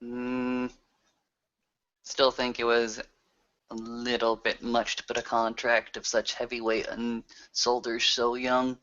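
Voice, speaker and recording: A man playing an earnest, reflective werewolf in an improvised roleplay, talking in a deliberate, halting way. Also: medium pitch, monotone, pushed and bright. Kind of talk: improvised in-character roleplay conversation. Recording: dull lo-fi voice recording.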